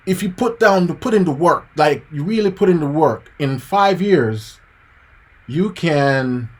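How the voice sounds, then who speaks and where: Encouraging man teaching piano on a livestream. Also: fairly high pitch. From Jamaica. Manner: casual and preachy, addresses viewers as guys and man, repeats key points. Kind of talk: live-streamed motivational piano lesson.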